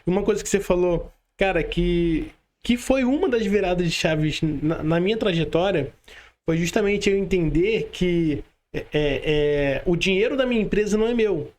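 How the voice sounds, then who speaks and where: A man's voice: high voice, lively intonation, darkish tone. A man with a Brazilian accent hosting a podcast in casual chat, often repeating words as he forms his thoughts. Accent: Brazilian Portuguese. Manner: halting, repeats words while forming thoughts. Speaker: podcast host.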